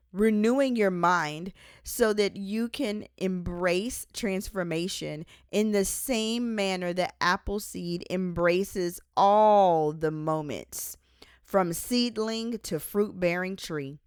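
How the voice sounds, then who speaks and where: A woman reading their own devotional book aloud as an author, with measured, deliberate and emphatic phrasing. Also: medium pitch, clean tone, no room sound, clean studio sound. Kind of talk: devotional audiobook narration.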